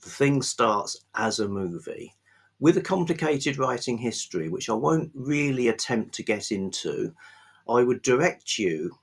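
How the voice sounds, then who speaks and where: A man hosting a book vlog, chatting casually without a script in a rambling, halting way with pauses mid-phrase. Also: mid-range voice, sing-song, slightly rough. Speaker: host of a book review vlog. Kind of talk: casual unscripted book chat.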